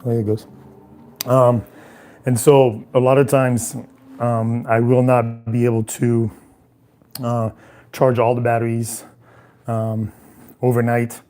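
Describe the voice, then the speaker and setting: A man who is losing his voice, talking casually in a hotel room about his travel work as a cinematographer, halting with many ums. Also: mid-range voice, flat delivery, warm tone. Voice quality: hoarse. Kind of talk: casual vlog talk while unpacking gear.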